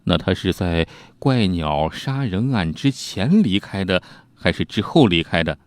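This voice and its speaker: A man voicing a shrewd, decisive magistrate of imperial China in a storytelling narration, measured and authoritative. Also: low-pitched, sing-song, dry recording. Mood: questioning, decisive.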